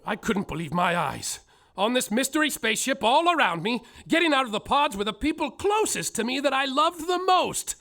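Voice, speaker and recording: An actor playing and narrating a bumbling small-town detective in a live comedy musical on stage. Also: male voice, very high voice, very animated, normal speed, pushed and bright, heavily acted. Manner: theatrical, over-the-top, comic narration.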